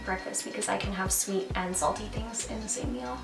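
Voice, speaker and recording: A woman's voice: medium pitch, fairly even intonation, a little fast. Bubbly young Canadian woman, roomy sound. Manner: bubbly, rambling, filler-heavy. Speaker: vlogger. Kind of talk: casual chat. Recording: roomy, from a distance, music behind.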